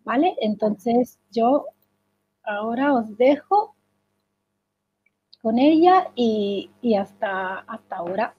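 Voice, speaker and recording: A woman hosting a webinar, slowly handing over to the guest speaker. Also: fairly high pitch, expressive, husky. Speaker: webinar host. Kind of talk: introducing a speaker. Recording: dull lo-fi audio.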